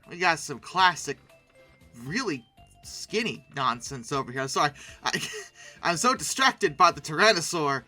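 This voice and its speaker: A video host giving casual, humorous commentary in an expressive, emphatic way with wry, sarcastic asides. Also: medium pitch.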